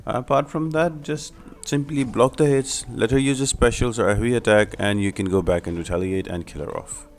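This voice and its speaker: A man's voice: mid-range voice, steady tone, fairly quick, hardly any pauses. A man narrating a video game guide, explaining tactics step by step in an instructional way. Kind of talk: gameplay walkthrough commentary. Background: background music.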